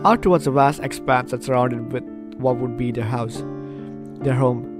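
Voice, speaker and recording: Gaming host for a visual novel playthrough: mid-range voice, lively and talkative, guitar score underneath. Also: mid-range voice, moderate pace. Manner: animated, chatty reactions to the game. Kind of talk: casual playthrough commentary mixed with reading game text aloud. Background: guitar music from the game.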